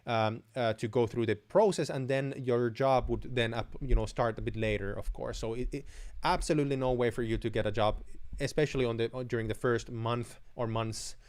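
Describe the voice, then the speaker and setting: A man's voice: mid-range voice, steady tone, moderate pace, darkish tone. Blunt Finnish man answering viewer questions. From Finland. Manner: blunt, direct advice with frequent restarts and fillers; chuckles between answers. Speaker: host. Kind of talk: live-stream Q&A.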